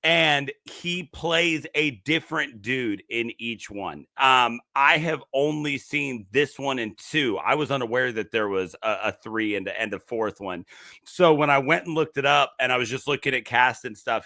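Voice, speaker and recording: A man co-hosting a horror movie podcast, talking things through in a chatty, explanatory way. His voice has a gravelly edge and a bright, forward tone. The sound is dry and close to the mic. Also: medium pitch, a little slow, no echo. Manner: chatty and explanatory, many fillers and restarts. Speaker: podcast co-host. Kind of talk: casual chat about a horror movie.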